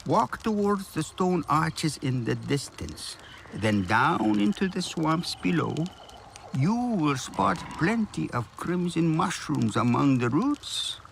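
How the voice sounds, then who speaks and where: An exacting old alien village mentor from a video game. The voice is gravelly and fairly high for a man, swinging widely in melody as it slowly gives careful instruction. Faint ambient noise sits behind it. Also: sing-song, heavily acted. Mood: helpful. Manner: deliberate, instructive, storyteller-like. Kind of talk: video game character acting.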